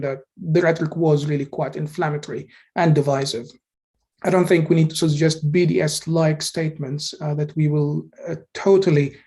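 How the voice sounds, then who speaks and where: Higher-pitched man, deliberate speaker. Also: mellow. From Egypt. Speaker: community deputy speaking in a debate. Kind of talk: speech to an online meeting.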